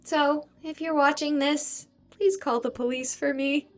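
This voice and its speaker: A woman playing an excitable young streamer in a game, with theatrical, emphatic delivery as she investigates a haunted hospital. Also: high voice, unhurried. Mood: dramatic, determined. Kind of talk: voiced game character dialogue.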